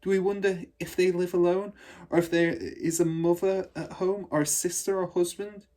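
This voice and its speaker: A man's voice: sits high, level tone, moderate pace, dark tone, voice pushed up, close to an everyday voice. A man voicing a small, curious schoolgirl with an earnest, inquisitive manner in a storybook reading. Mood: curious, wondering.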